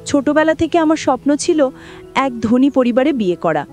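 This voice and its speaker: A woman's voice: high-pitched, lively intonation, normal speed, darkish tone. A woman narrating a scripted first-person dramatic story. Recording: music bed under the voice. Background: background music.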